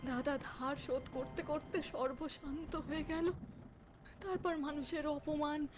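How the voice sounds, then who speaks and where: A woman voicing the grieving ghost of a young woman in a horror cartoon, plaintive and halting. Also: extremely high pitch, noisy background. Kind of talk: Bengali horror cartoon voice acting.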